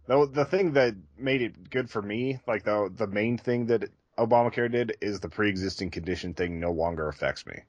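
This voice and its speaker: A man with a low voice talks candidly in casual podcast conversation. The sound is dry and close, and his delivery is conversational and a little self-deprecating. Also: moderate pace, few pauses, darkish tone, dry recording, lo-fi sound. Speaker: podcast co-host.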